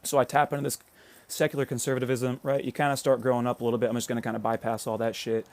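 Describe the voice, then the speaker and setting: Fast-talking American man ranting on a livestream. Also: medium pitch, flat delivery, darkish tone, dry recording. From United States. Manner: rambling, peppers talk with "right?" and swearing; reads out and answers viewer comments. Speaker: livestream host. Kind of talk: casual political livestream monologue.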